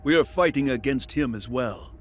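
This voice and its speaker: A man voices a calm centaur ally in a game cutscene. His mid-range voice stays steady and inquiring, with faint music under it. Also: medium pitch, normal speed, close to an everyday voice.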